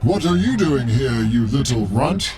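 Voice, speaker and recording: A man's voice: mid-range voice, expressive, a little slow, a crowd in the background, natural voice. A man voicing a grouchy, suspicious and haughty imprisoned magical creature in a video game cutscene. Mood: grumpy, suspicious.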